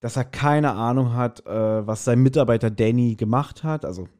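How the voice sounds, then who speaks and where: A man's voice: medium pitch, normal speed, darkish tone. A man with a mid-range voice talks casually as a podcast host. He retells an audio drama in slangy, colloquial speech, slipping into quoted lines as he goes.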